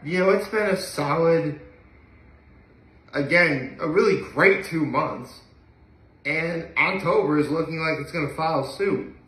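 A man's voice: a little high, unhurried, a bit bright. A young man chatting casually to the camera in a rambling, hesitant way that often trails off, recorded at home a few feet from a phone. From upstate New York. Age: young adult. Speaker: daily vlogger. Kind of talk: casual solo chat to camera. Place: indoor living room at home. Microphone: phone camera a few feet away. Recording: roomy, echoey home recording.

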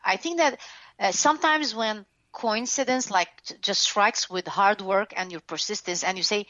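A woman's voice: mid-range voice, normal speed, pushed and bright, dry recording, muffled recording. A woman with a Lebanese accent, a former TV host, frankly telling her life story in an interview, full of fillers and restarts. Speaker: guest, author and former TV host.

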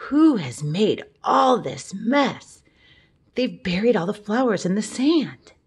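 A woman's voice: mid-range voice, sing-song, slow pace, breathy edge, voice pushed up, exaggerated voice. A woman voicing a caring, easily dismayed schoolteacher in a children's picture book. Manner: gasping exclamations, reassuring to children.

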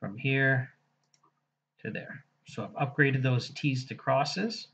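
Husky man walking through software steps. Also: medium pitch, slow, muffled recording. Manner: casual, thinking aloud while clicking through steps. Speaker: software instructor. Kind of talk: screen-recorded software tutorial.

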